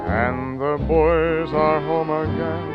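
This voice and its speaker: Woman singer, slow sung phrases, loud instrumental backing. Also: deep voice, steady tone, dull audio, loud music behind the voice. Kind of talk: slow wartime ballad singing. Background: loud instrumental accompaniment.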